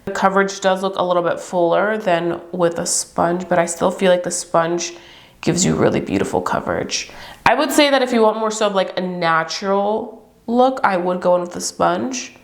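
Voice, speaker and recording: A woman's voice: on the low side, moderate pace. A young woman reviewing beauty products to camera in a chatty, upbeat way, her voice stuffy and congested from a cold. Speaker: beauty vlogger.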